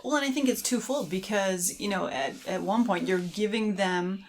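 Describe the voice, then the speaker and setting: A woman's voice: mid-range voice, normal speed, a little mellow, a little hiss or hum. A middle-aged woman co-hosting a podcast in a casual, conversational way. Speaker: podcast co-host.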